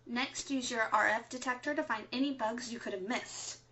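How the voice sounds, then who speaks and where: A woman playing a measured, matter-of-fact resistance co-host in an audio drama styled as a scripted radio broadcast. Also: on the high side, fairly even intonation, pushed and bright. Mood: instructive. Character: matter-of-fact female resistance co-host, mild but testy.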